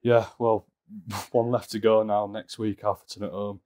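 Low, flat-voiced club interviewer. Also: male voice, normal speed. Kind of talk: post-match interview questions.

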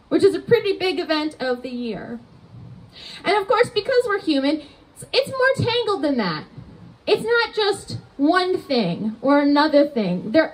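A woman's voice: high voice, rough recording. A woman, preaching a conversational sermon outdoors at a church entrance into a mic on a stand, often asking the congregation rhetorical questions. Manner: animated hand gestures while preaching. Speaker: pastor.

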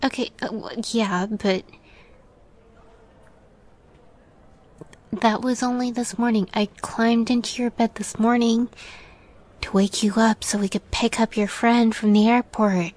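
A woman playing a shy young catgirl roommate in an intimate roleplay audio, halting and nervous with a playful streak, often softening to a near whisper. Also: a little high, fairly even intonation, lightly acted. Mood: flustered.

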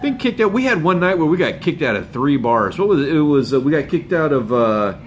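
A man hosts a fishing channel live stream from home, chatting loosely with viewers and sounding hoarse from a cold. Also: on the high side, sing-song, a little fast, a little hiss or hum. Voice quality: rambling, laid-back, with chuckles and filler words. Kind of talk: casual live stream chat. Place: home room with bookshelves and a window. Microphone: phone camera up close.

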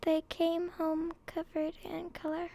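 High, very flat, slow female reader. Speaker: reader of a children's book. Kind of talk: scripted read-aloud narration.

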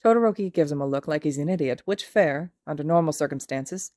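A woman narrates a piece of fiction as a scripted reading. Her voice sits a little low and is soft and mellow. The recording is very dry, with no room around it. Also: fairly quick, no echo. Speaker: podfic narrator.

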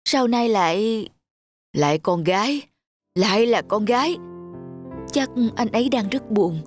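This is a gentle young wife and mother in a cartoon, voiced by a woman. Her voice is mid-pitched, soft and caring. Faint music plays behind her. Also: expressive, unhurried, close to an everyday voice. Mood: worried.

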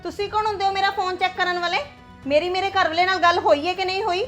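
Give me a woman playing an indignant daughter-in-law, very high and fast. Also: steady tone, fairly bright tone, echoey room, soft background music. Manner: defiant, indignant. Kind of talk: acted family drama dialogue.

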